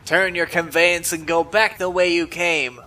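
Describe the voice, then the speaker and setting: A man's voice: a little high, fairly even intonation, a little slow, sharp tone, a little hiss or hum. A man voicing a menacing robot sentry who gives stern, formal orders in a comic dub. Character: loud, menacing robot sentry guarding a base. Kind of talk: dramatic comic-dub voice acting.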